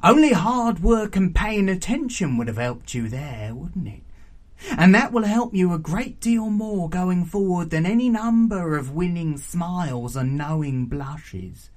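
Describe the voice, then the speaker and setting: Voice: mid-range voice, animated, a little slow, pitched above the performer's own voice, lightly acted. A storyteller voicing a raucous, mischievous, teasing old spinner woman with a filthy cackle. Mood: menacing praise.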